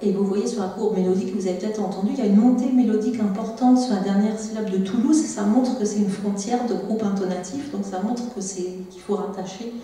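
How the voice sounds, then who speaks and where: A woman's voice: mid-range voice, fairly even intonation, fairly quick, hardly any pauses, dark tone, distant mic. A woman giving an academic talk at a symposium, hesitant, with frequent fillers and restarts. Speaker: conference speaker. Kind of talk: academic presentation. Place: large lecture hall. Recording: echoey lecture hall from afar.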